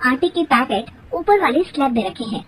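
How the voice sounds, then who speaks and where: Female storyteller, high pitch, expressive intonation, scripted cartoon narration, slightly distant mic. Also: moderate pace, a little hiss or hum.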